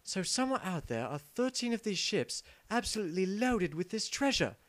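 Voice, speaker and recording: A man's voice: high voice, very animated, moderate pace, background noise. Man playing a cocky, crude adventure hero. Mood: self-important. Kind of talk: amateur parody game dub.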